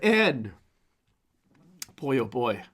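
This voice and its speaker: A man hosting a casual solo podcast, talking through a hoarse, strained voice that keeps cracking, rambling with fillers as he reads from a newsletter. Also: mid-range voice, big pitch swings, drawn-out words, fairly bright tone, slight background noise. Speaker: podcast host.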